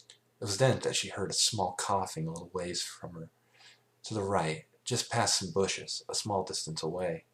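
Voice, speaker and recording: A man reading a scripted story aloud as an amateur narrator, stumbling now and then over the words. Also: sits low. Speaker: amateur fan fiction reader.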